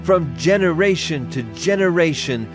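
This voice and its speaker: A man's voice: on the high side, expressive, moderate pace. A man giving a solemn, declamatory dramatized reading of scripture as an audio Bible narrator. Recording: music under the voice. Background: music.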